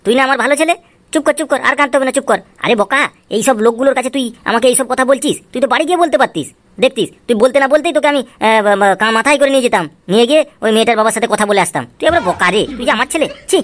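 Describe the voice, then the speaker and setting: A woman's voice: fairly high pitch, expressive, very fast, lightly acted. A woman voicing an exasperated, foul-mouthed, sly father in a comic cartoon, grumbling and wheedling. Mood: coaxing, placating. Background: music.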